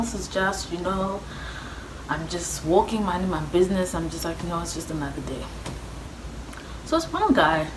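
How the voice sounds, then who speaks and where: A young South African woman with a low voice chats casually to her viewers. She tells her story in slang and acts out each side of the conversations. The sound is echoey, with clear background noise. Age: young adult. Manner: chatty, slangy, acts out reported dialogue. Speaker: vlogger.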